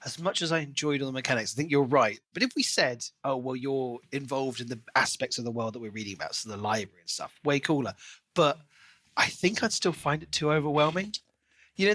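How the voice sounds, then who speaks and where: A man's voice: mid-range voice, pushed and bright. A man co-hosting a podcast, talking casually and analytically through his thoughts on a book.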